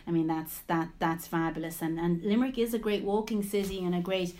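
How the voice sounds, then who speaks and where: A woman's voice: on the low side, flat delivery, brisk, warm tone. A woman hosting a podcast interview. She speaks enthusiastically and often repeats words as she starts a sentence. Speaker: podcast host.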